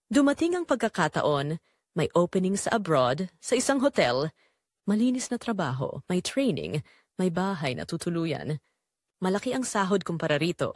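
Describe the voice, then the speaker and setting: A woman playing an unhappy wife and mother with a Filipino accent, confessing her betrayal in measured, reflective first-person audio drama narration. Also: on the low side, big pitch swings, no room sound, clean studio sound. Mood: confessional, wistful.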